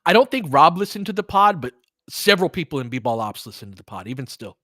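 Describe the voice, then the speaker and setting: A man's voice: fairly high pitch, very animated, fast, sharp tone, no room sound. Man, dry close-sounding casual commentary. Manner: conversational, rambling, candid. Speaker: host doing audio play-by-play. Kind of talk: casual chatty game commentary with stories and asides.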